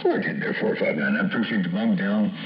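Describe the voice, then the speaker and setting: Animated low-voiced American man on CB radio. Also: brisk, lo-fi sound, noisy background. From US West Coast. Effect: CB radio transmission. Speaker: CB radio operator. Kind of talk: CB radio chatter with slang and call signs. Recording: band-limited CB radio sound.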